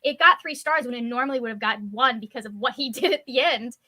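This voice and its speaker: A woman's voice: on the high side, fast, sharp tone, no room sound. A woman co-hosting a casual book discussion, giving her opinions bluntly. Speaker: co-host on a book discussion livestream.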